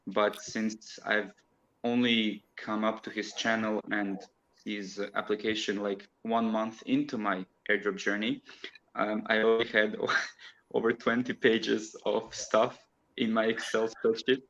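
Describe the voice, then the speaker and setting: A man from Croatia chats as a guest over a video call, often laughing as he explains. His voice sits fairly low. The call audio is dull and lo-fi. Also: level tone, a little slow, fairly bright tone. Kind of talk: casual online chat.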